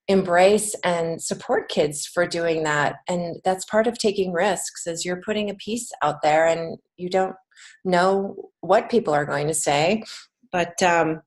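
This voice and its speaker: American woman, slightly low voice, reflective and earnest. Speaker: guest, children's book author and writing teacher. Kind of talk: conversational podcast interview.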